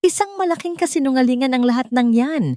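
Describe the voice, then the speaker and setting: A woman voicing a proud, angry middle-aged mother who gives sharp, commanding orders in a radio drama. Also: sits high, expressive, fast talker, no echo, studio quality, voice pushed up. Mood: furious.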